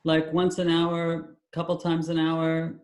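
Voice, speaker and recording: A man leading a live webinar as a spiritual healer, talking calmly and reassuringly in spiritual terms over a video call. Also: a little high, slow, echoey room. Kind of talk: live webinar healing session.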